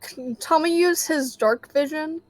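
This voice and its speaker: Woman, tabletop player, casual game chat, raised pitch, dry close sound. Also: measured pace, no echo, rough recording.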